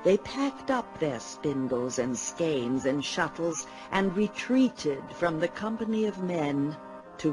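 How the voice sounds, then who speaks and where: A man narrating an audio drama as a solemn, measured storyteller of legend. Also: fairly high pitch.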